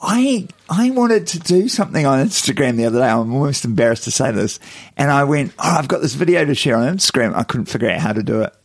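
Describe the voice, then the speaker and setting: A man with a New Zealand accent co-hosting a podcast in casual chat, his voice croaky and a little hoarse. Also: mid-range voice, big pitch swings, fast. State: slightly hoarse from yelling. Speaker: podcast co-host.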